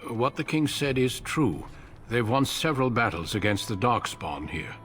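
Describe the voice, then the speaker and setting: An actor playing a grave, seasoned middle-aged warrior commander in a fantasy game, measured, formal and courteous. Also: male voice, mid-range voice, very animated, a bit bright, faint music behind. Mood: solemn, measured. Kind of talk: fantasy video game acting.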